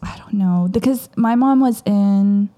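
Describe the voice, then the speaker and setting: A Southern Californian woman co-hosts a casual podcast, chatty and quick to laugh and gasp in surprise. Her voice sits at an ordinary pitch with a mellow, relaxed tone. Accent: Southern Californian American. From United States. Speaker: podcast co-host.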